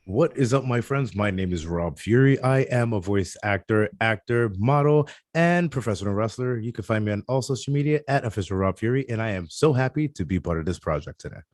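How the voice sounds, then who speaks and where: Very deep-voiced man, dry close sound. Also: animated, fairly quick, few pauses, darkish tone, no room sound. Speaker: voice actor and professional wrestler. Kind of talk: casual self-introduction.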